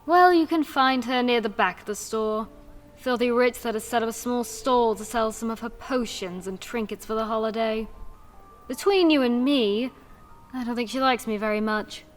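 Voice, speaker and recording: A woman's voice: a little high, close to an everyday voice. A woman voicing a young pegasus mare shop girl in a fan fiction drama, pushy and a little catty.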